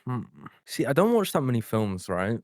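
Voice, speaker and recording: A man's voice: mid-range voice, very animated, normal speed, dry recording, studio quality. A man co-hosting a podcast, chatting casually and informally about films in tentative phrasing. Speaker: podcast co-host. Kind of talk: casual chat, film discussion.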